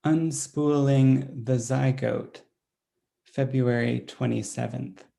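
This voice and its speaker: A man reading another poet's diary-like poem aloud, slow and deliberate, closing each entry with a date. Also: mid-range voice, gravelly. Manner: deliberate, dated entries read flatly.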